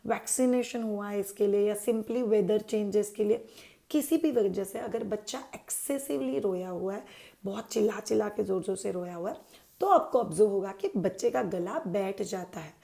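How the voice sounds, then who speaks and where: A woman's voice: mid-range voice, moderate pace, creaky, mellow. A woman hosting a parenting channel, explaining baby care to parents in a chatty way, dropping English words into her talk and answering her own questions.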